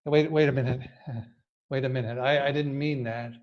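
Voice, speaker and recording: An actor playing a tough, touchy jailed gangster in a radio drama, slangy, defensive and volatile, over dull lo-fi audio. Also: male voice, mid-range voice, steady tone, moderate pace, natural voice. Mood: backpedaling. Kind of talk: old-time radio drama acting. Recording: dull lo-fi live-stream audio.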